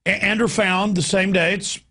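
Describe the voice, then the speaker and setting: Gravelly, raspy man hosting political talk. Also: high-pitched, fairly even intonation, moderate pace, sharp tone. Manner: emphatic, ranting, piles up examples. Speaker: talk show host. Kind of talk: opinionated political radio talk.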